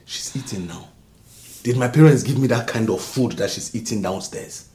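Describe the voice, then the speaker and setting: An actor with a Nigerian accent playing a bitter self-made husband who rants at his wife about his upbringing, swinging from low mutters to shouts. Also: moderate pace, close to an everyday voice. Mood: angry. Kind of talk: melodrama acting.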